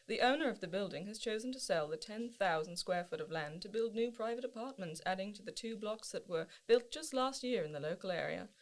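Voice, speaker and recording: A woman playing a radio newsreader in a radio play, reading a script in a formal, measured way. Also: mid-range voice, natural voice.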